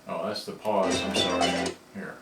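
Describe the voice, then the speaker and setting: A man giving a casual, unscripted demonstration, talking himself through it step by step in a halting way. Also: low voice, little pitch movement. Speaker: repairer demonstrating serviced cassette players. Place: indoors in a house. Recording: roomy, distant mic, loud music behind.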